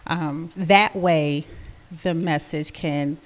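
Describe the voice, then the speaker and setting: A woman's voice: low voice, fairly even intonation, extremely slow. A woman co-hosting a podcast in a casual, easygoing way, answering her partner with frequent affirming murmurs. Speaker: podcast co-host. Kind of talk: casual chat.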